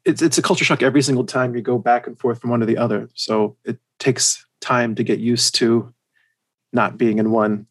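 Creaky-voiced American man, halting delivery. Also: mid-range voice, steady tone. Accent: general American. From United States and Germany. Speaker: podcast guest. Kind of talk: casual chat.

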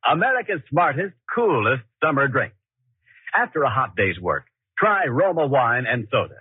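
A man's voice: medium pitch, no room sound. A male radio announcer reading scripted copy with polished, emphatic 1940s salesmanship. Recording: roughened, muffled 1940s radio broadcast, faint music.

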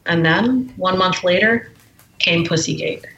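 Woman with a low voice in a roomy room. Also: measured pace, a bit bright, highs cut off. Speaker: guest, memoir author. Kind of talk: reading aloud from her memoir, then conversation.

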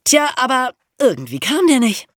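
Animated true-crime storyteller, dry close recording. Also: high-pitched, moderate pace, dry recording. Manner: amused, colloquial storytelling. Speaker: podcast host telling true crime stories.

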